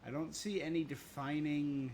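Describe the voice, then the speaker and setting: A man's voice: a little high, steady tone, moderate pace, darkish tone, a little hiss or hum. A man streaming a game live, reacting casually and musing in tentative questions and fragments.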